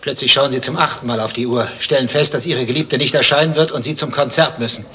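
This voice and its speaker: An actor playing a jealous, suspicious husband in a satirical radio play, questioning in an insistent, indignant, pedantic way. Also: male voice, medium pitch, quick pace, sharp tone, slightly rough. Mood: probing, suspicious. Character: jealous, suspicious husband, a dentist, interrogating his rival.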